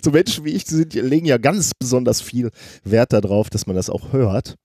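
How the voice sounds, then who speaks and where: A man's voice: mid-range voice, lively intonation, fairly quick, darkish tone, breathy edge, dry recording, studio quality. A man hosting a podcast while he has a cold, talking in a rambling, self-mocking way. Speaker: podcast host. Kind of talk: casual podcast chat.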